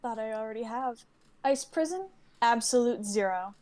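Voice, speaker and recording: A woman acts out a female ice warrior in a live fantasy script reading. Her voice is a bit higher than most. She is self-assured and defiant, answering threats with confidence. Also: expressive, moderate pace, darkish tone, lightly acted. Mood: confident.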